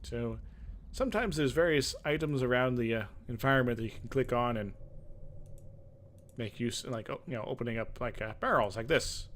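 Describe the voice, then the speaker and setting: A man's voice: medium pitch, normal speed, fairly bright tone, a little hiss or hum. A man narrating a video game playthrough, mixing casual, hesitant, wry asides with dramatic reading of the text.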